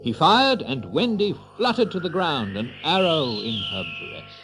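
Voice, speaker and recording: A man narrating a children's story with an expressive, theatrical storyteller's delivery. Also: a little high, unhurried, over music.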